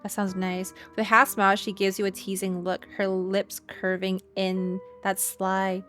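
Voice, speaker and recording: A woman's voice: medium pitch, monotone, unhurried, a bit bright. A woman game streamer reacting casually and reading game text aloud in a playful, informal way. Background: faint game music.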